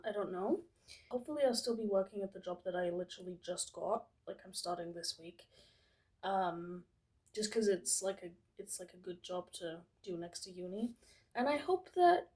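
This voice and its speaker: A woman's voice: fairly high pitch, dark tone. A 22-year-old woman from England, chatting casually to camera as a vlogger, rambling and hesitant with many fillers.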